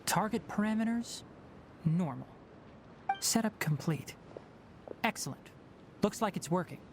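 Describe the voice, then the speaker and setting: A man's voice: high voice, animated, measured pace, noisy background. An actor voicing a young, fox-eared male forest ranger in a video game, who talks in a precise, explanatory way. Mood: focused. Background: game music.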